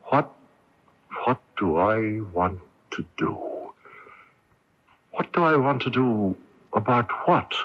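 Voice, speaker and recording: Deep, weary imprisoned Irish patriot. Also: male voice, lively intonation. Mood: dazed, halting. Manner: halting, brooding, repeating himself. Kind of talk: radio drama acting. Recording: muffled 1980s AM radio tape, music.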